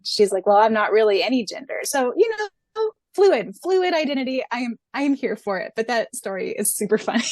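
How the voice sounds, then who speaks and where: A woman co-hosting a fan podcast in an informal, expressive, giggly way. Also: fairly high pitch, normal speed, no echo, clean studio sound. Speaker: podcast co-host. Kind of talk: casual fan podcast chat.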